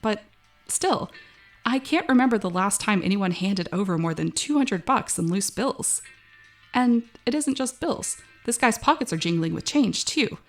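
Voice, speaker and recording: A woman's voice: mid-range voice, expressive, a little slow, soft background music. A woman playing an uneasy, wry young female cashier, narrating an audio drama in a wary, conversational first person. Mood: wary fascination.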